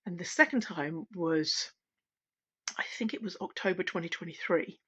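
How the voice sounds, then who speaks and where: A middle-aged woman, a singing teacher, talking candidly at home in a relaxed, unscripted vlog, pausing and restarting as she reflects. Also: on the low side, husky. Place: at home, wrapped in a blanket. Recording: dull, lo-fi sound.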